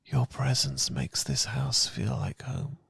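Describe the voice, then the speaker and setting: A British man speaking as a loving boyfriend in a soft, husky, often whispered ASMR style, gentle, tender and unhurried, close to the microphone. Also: a bass voice, big pitch swings, no room sound. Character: loving, soothing boyfriend comforting his partner. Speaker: ASMR audio performer. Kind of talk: ASMR, slow romantic guided relaxation. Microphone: close microphone, dry.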